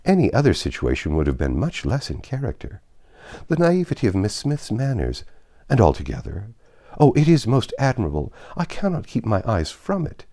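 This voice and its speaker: Storybook suitor, played by a man: young gallant gentleman, effusive flatterer, wildly animated pitch. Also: moderate pace, heavily acted. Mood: rapturous. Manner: effusive, repeating himself in breathless praise. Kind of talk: storybook character reading.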